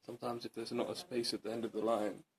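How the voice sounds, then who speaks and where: Very low, gravelly woman interviewer. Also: little pitch movement, fast, crowd noise. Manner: probing follow-up questions. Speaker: student interviewer. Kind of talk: class interview.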